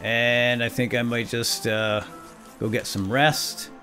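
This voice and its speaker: A man's voice: medium pitch, little pitch movement, slow pace, sharp tone, soft background music. A man doing casual, playful let's play commentary, talking to himself and his viewers with exclamations. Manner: playful, self-chatting, exclaiming. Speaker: host of a gaming series.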